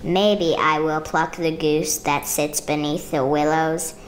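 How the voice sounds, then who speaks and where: A woman reading a children's picture book aloud in measured, rhythmic rhyme. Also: deep voice, steady tone, a little hiss or hum. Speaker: storybook reader.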